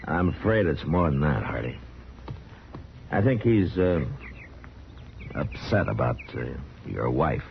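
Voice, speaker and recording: Very deep-voiced frontier marshal. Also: male voice, very animated, creaky, full character voice. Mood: tactful. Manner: measured, plain-spoken, hesitant pauses. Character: steady, tactful but firm frontier marshal. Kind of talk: 1950s radio western acting. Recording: dull, noisy old radio recording.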